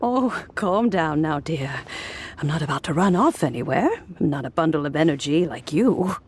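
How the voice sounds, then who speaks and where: Elderly wise mentor, lowish animated voice, doting, genteel, teasing, fantasy game. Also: female voice, a little hiss or hum. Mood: fond, teasing. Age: older. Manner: doting, genteel, unhurried phrasing. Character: kindly old lady mentor, gently teasing and wise.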